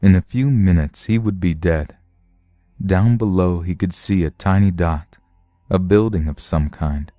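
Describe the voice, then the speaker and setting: A man's voice: sits low, a little slow, dark tone, no room sound, clean studio sound. A man narrating a science fiction story from a script. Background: music.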